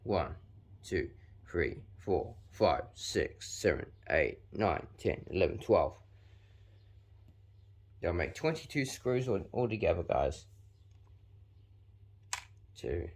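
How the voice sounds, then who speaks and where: A 19-year-old man, chatting casually through a hands-on how-to while deliberately pushing his voice extra deep. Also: big pitch swings, a little mellow. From New Zealand. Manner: chatty, filler-heavy, talks while working with his hands. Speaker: vlogger.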